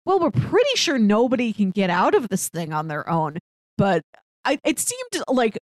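A woman's voice: mid-range voice, big pitch swings, moderate pace, no room sound. A woman co-hosting a podcast, casually talking over a TV drama in a rambling storytelling way. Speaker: podcast co-host. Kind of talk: casual chat about a TV drama.

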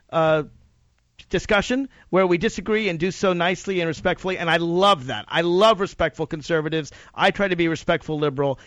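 A man's voice: on the high side, fairly bright tone, dry recording. A man hosting a political call-in radio show, earnest and affirming, often chiming in to agree with callers. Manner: earnest, affirming, quick interjections. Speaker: radio talk show host. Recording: dull lo-fi broadcast audio.

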